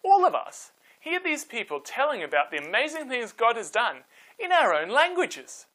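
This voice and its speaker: A man voicing amazed, excitable onlookers in a children's story, exclaiming in bewilderment. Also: very high-pitched, moderate pace, voice pushed up, full character voice, low-quality recording. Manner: exclamatory, stammering in astonishment.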